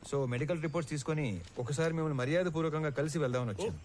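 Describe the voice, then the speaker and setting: Quick-spoken composed officer, played by a man. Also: fairly high pitch, darkish tone, slight background noise, close to an everyday voice. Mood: polite, guarded. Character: composed, probing government officer and family man. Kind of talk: Telugu film drama dialogue. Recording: film soundtrack with music.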